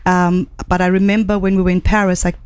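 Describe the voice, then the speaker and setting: A woman's voice: lowish voice, little pitch movement, quick pace, clean tone, no room sound. Enthusiastic middle-aged woman from Zambia. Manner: warm, enthusiastic storytelling with fillers. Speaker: singer, radio guest. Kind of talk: casual interview chat. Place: radio studio. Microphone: studio microphone.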